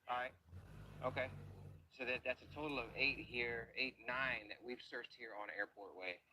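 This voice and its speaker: Methodical search diver, noisy outdoor clip. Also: male voice, mid-range voice, fairly even intonation, moderate pace. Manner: methodical, reports depths and findings. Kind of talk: narrating a search on camera. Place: outdoors by ponds.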